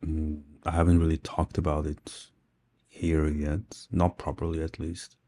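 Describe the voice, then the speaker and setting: A man's voice: very deep voice, level tone, unhurried, dark tone. A man whose first language is Dutch, hosting his own audio journal and talking casually, thinking aloud as he goes. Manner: thinking aloud with fillers and restarts. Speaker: podcast host.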